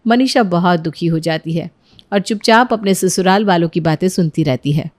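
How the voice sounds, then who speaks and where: A woman's voice: lowish voice, moderate pace, a little mellow, no room sound, studio quality. A woman reading scripted narration for a moral story, as the storyteller.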